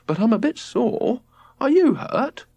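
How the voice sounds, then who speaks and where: Man putting on a dreamy, gushing mother, pitched high. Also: sing-song, a little slow, exaggerated voice. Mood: concerned. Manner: soft, gushing, murmuring. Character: dreamy, adoring mother, contentedly embracing outdoor life.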